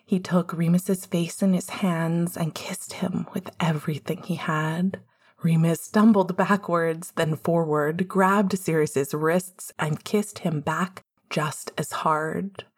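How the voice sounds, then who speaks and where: Husky, unhurried woman narrating fiction. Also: on the low side, steady tone. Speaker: podfic narrator.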